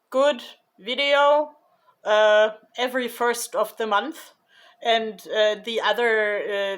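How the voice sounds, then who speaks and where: Slow, hesitant woman vlogger. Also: medium pitch, fairly bright tone. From Germany. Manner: hesitant, many fillers and restarts; slow, thoughtful delivery. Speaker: YouTuber. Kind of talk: casual vlog recap.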